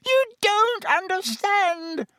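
Grovelling male coward: very high squealing whine, slow gasping pleas, audiobook villain. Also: lively intonation, pushed and bright, dry recording, clean studio sound, faint music behind, exaggerated voice. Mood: whining. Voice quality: whining, gasping. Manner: pleading, stammering, grovelling. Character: cowering, whining middle-aged male traitor pleading for mercy.

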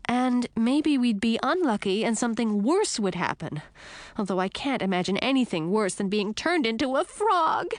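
A woman's voice: on the high side, lively intonation, normal speed, no room sound, voice pushed up, close to an everyday voice. A woman voicing a young princess turned frog in a storybook, fretful, indignant and emphatic. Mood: incredulous, wary. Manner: whiny, emphatic, easily upset. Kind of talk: audiobook character acting.

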